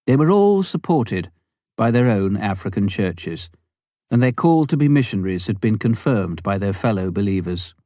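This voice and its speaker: Low-voiced man reading a memoir. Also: big pitch swings, moderate pace, warm tone, no room sound, clean studio sound. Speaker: audiobook reader. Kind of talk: scripted narration of a memoir.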